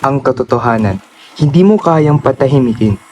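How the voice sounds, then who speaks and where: A man's voice: medium pitch, animated, normal speed, darkish tone, a little hiss or hum, close to an everyday voice. A man acting a defiant, grieving son exposing his corrupt father in a solemn, declamatory Filipino radio drama style. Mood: solemn resolve.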